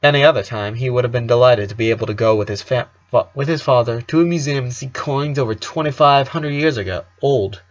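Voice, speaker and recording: Male story narrator, medium pitch, amateur read with the odd stumble, slightly lo-fi sound. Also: brisk, hardly any pauses. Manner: occasional stumbles over words while reading. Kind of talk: fan fiction read aloud.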